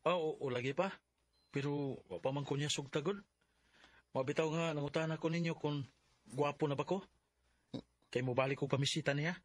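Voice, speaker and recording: An actor playing an earnest, insecure young suitor in a Filipino radio drama, with a husky voice and a Bisaya accent. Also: male voice, fairly high pitch, fairly quick, pauses often, close to an everyday voice. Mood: eager, insecure.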